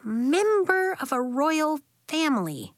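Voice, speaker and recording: Woman as sensible young girl, soft, soothing, high pitch, gentle storybook friend. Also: sing-song, takes it slowly, dry recording, clean studio sound, exaggerated voice. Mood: dry, practical.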